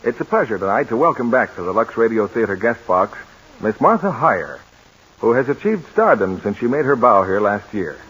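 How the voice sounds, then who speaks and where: A man announcing in the formal style of 1940s network radio, with a husky voice, on a dull old broadcast recording. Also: fairly low pitch, very animated, normal speed, fairly bright tone.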